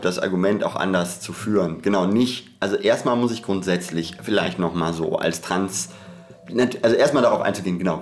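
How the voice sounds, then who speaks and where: A podcast co-host in a reflective, conversational discussion, speaking in a discursive academic way and often breaking off to rephrase. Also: sits low. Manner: discursive, hesitant, self-interrupting academic talk.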